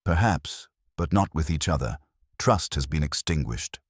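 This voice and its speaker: A man reads as a stout, lofty old detective who talks in slow, formal pronouncements. The voice sits extremely low, with a husky edge and a rise and fall that stays lively. It is close and dry, with no room around it. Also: no echo, heavily acted. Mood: measured. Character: stout older male detective, measured and lofty.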